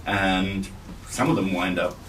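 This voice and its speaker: Male event questioner, deep voice, deliberate broken phrasing, picked up at a distance through noticeable noise. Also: a bit bright. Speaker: participant putting a question to the author. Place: indoor bookstore event. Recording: distant mic, clear background noise.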